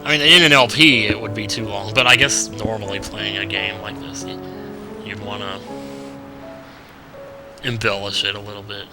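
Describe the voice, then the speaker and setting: Low-voiced American guy doing game commentary. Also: fairly even intonation, normal speed, long pauses. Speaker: gaming video host. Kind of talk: casual let's play commentary. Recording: game music behind the voice. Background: video game music.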